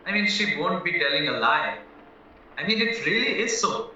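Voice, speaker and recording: A man's voice: a little high, big pitch swings, normal speed, bright, forceful tone, a little hiss or hum, full character voice. A man acting in an audio play as a naive, anxious young husband accused of murder, earnest and rambling as he protests. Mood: anxious, pleading. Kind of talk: stage-style audio drama acting. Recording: very echoey, muffled, distant, music underneath.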